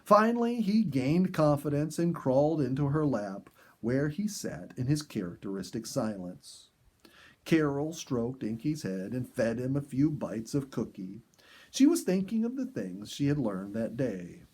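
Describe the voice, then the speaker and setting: A man narrating a story in an earnest, dramatic storybook style with emphatic pauses. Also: medium pitch, big pitch swings, a little mellow, slightly rough. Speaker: audiobook narrator.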